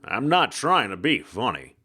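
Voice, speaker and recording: A man's voice: medium pitch, sing-song, unhurried, bright, forceful tone, slightly rough, exaggerated voice. A man voicing a tough, mocking ghost of a private eye in an audiobook, with a slangy, sardonic drawl in a 1940s detective manner. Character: tough hard-boiled male detective ghost, mocking, self-assured.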